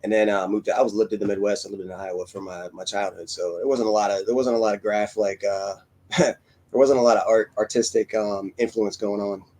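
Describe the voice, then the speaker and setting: A man from Pennsylvania co-hosts a podcast. He speaks quickly in a fairly low voice and tosses in casual, offhand comments. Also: steady tone, darkish tone. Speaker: podcast co-host. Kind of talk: casual chat.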